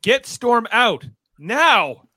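A commanding superhero team leader, played by a man, pitched high and swooping in range. The delivery is bossy and measured, in live radio-play style. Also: sing-song, pushed and bright, dry recording, studio quality, heavily acted. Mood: urgent.

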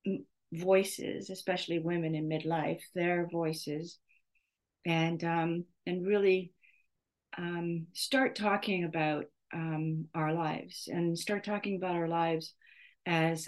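A middle-aged woman hosting a podcast, talking off the cuff in a hesitant, halting way as she searches for her words. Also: low-pitched. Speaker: podcast host. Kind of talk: off-the-cuff solo podcast introduction.

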